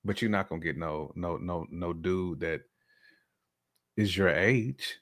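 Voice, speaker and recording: Low-voiced American man, blunt and slangy. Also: a little slow, fairly bright tone. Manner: slangy, blunt, emphatic, repeats phrases. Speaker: podcast co-host. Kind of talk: casual opinionated relationship chat.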